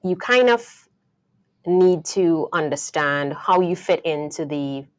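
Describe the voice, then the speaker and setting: Low-voiced Trinidadian woman telling her story. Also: expressive, slow. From Trinidad and Tobago. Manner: thoughtful, warm storytelling with frequent fillers. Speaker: guest engineer. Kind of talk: podcast interview.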